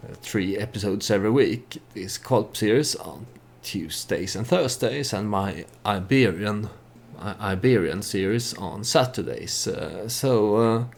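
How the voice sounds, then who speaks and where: Low, unhurried man with a creaky voice. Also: darkish tone. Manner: hesitant, halting, thinking aloud. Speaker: let's play YouTuber narrating his strategy game. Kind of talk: casual storytelling game commentary.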